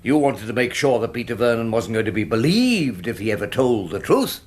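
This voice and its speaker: An actor playing a wry, combative older English defence barrister, cross-examining theatrically and sardonically in a courtroom drama. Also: male voice, lowish voice. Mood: triumphant, accusing. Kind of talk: courtroom radio drama acting.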